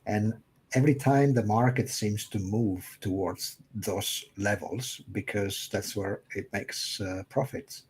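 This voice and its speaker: Low-voiced man explaining liquidity maps. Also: a little slow, a little hiss or hum. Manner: hesitant, many fillers. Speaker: crypto market analyst. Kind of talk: casual livestream chat.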